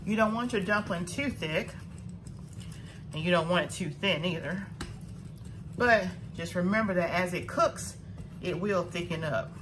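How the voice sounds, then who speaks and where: A woman's voice: on the low side, a little slow, long pauses, noisy background. A woman with a Southern US accent hosting her own cooking show, walking viewers through a recipe step by step in a homey, instructive way.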